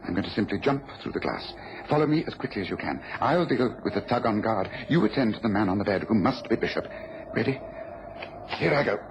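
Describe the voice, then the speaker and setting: An actor playing a shrewd, commanding British detective in a mid-century radio drama, speaking precisely and briskly. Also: male voice, on the low side, lively intonation. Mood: hushed, intent. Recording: muffled, noisy old radio recording.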